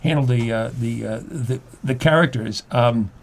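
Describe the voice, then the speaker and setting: An older man speaking as a guest in a conversational interview, explaining things haltingly and repeating his words as he goes. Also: medium pitch, creaky, a little hiss or hum. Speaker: guest playwright and acting teacher.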